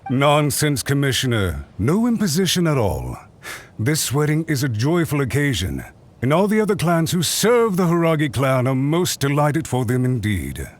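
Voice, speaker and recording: A man's voice: mid-range voice, wildly swooping pitch, moderate pace. A man playing a polite, eager-to-please clan retainer in a video game, formal and obliging. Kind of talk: English video game voice acting. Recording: background music under the voice.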